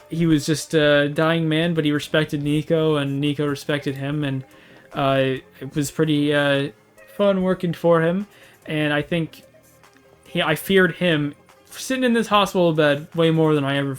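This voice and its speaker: A man's voice: a little high, clean tone. A young man giving casual, rambling commentary, hesitant and full of restarts. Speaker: gaming video host.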